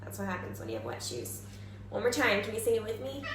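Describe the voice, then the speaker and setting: A woman teacher giving an expressive, exaggerated children's read-aloud and simple lesson, prompting listeners with questions. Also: medium pitch, fast talker, faint music behind. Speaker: kindergarten teacher reading aloud. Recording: echoey room, faint background noise.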